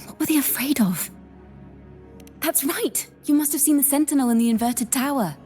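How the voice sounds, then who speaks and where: An inquisitive young bard from a fantasy game. Her voice sits a bit high and soft, turning breathy as she reasons things through. Faint music plays behind her measured words. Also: lively intonation. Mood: inquisitive, reasoning. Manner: measured, reflective. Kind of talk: video game voice acting.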